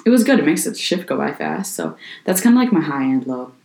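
A young woman with a deep voice for her age, a little hoarse from a sore throat. She talks in a loose, chatty way, full of "like" and restarts, as a podcast co-host. The room has a noticeable echo. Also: wildly swooping pitch, brisk, darkish tone. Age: young adult. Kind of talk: casual chat. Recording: echoey room.

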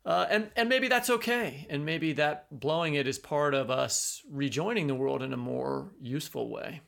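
A man's voice: on the high side, normal speed, creaky, fairly bright tone. A man from the United States co-hosting a podcast, rambling casually as he thinks aloud. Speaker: podcast co-host. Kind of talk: casual interview discussion.

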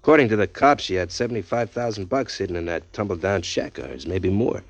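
An actor playing a streetwise, hardboiled drifter who narrates in a tough, dry, wisecracking way, in 1940s radio acting. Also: male voice, sits low, fast talker. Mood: wry. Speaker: narrator and lead. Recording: dull lo-fi 1940s radio recording, faint music.

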